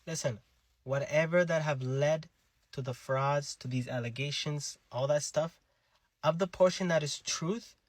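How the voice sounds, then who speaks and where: A man's voice: on the high side, unhurried, dry recording. A man speaking earnestly to camera, appealing to his own community. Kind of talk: personal monologue to camera.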